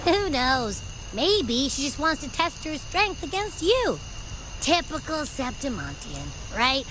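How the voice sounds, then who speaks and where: A woman's voice: sits high, big pitch swings, slow, noisy background, full character voice. A woman playing a carefree, food-loving young companion in a video game, talking in a playful, breezy, casual way. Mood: relaxed, casual.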